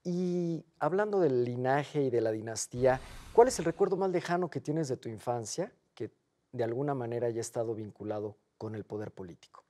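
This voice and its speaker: A host asking formal, composed questions in an interview, with short acknowledgements in between. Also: male voice, medium pitch, fairly quick, dark tone.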